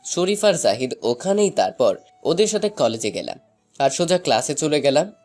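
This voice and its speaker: A young man narrating a scripted first-person love story in an expressive, dramatized way. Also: on the high side, moderate pace. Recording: music bed under the voice. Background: background music.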